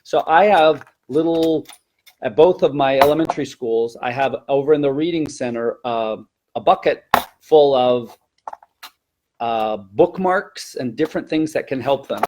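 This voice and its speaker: A man's voice: mid-range voice, a little slow, noisy background. A man who teaches elementary Spanish, giving an explanatory teacher-training talk in a hesitant, self-correcting way.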